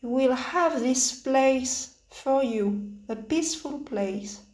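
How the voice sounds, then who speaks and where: A woman leading a guided meditation with spoken instructions in halting phrases, pausing often between them. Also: sits high, darkish tone. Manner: hesitant, halting phrases with long pauses. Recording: roomy, echoing sound.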